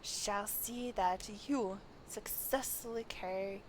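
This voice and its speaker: Pompous video game scholar voiced by a woman, theatrical, self-important, measured pace, noisy recording. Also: medium pitch, lightly acted. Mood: pompous, grandiose. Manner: pompous, alliterative, theatrical declaiming. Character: flamboyant, self-important scholar guiding an adventurer. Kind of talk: reading game quest dialogue aloud.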